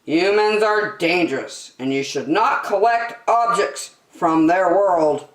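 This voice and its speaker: A woman's voice: on the low side, slow, roomy sound, poor audio. A woman voicing a stern, protective merman father in a storybook, giving emphatic, upset warnings.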